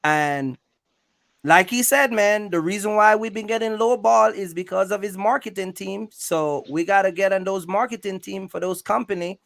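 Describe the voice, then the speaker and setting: Male interviewer, notably high register with a fry-laden edge; loose, slangy, circles back on his points. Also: moderate pace, fairly bright tone. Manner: rambling, repetitive, streetwise slang with frequent check-ins. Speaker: YouTube channel host interviewing a guest. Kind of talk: casual interview chat about trucking.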